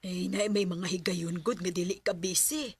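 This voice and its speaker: An actress playing a middle-aged mother gently prodding her grown son in a radio drama, with a Filipino Cebuano accent. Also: lowish voice, fairly even intonation, normal speed, breathy edge, dry recording. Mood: nagging.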